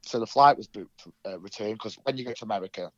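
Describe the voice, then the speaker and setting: A man's voice: lowish voice, fast, a bit bright, no echo, muffled recording. A young man from England with a cold, sounding nasal, telling his story in an interview with many ums and restarts. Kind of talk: casual recounting in an interview.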